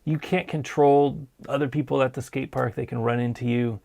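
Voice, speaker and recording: A man hosting a casual live-stream show, hoarse from a sinus cold, talking in an earnest, encouraging way. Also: medium pitch, steady tone, normal speed, a little mellow. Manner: earnest, encouraging, plain-spoken. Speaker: podcast host.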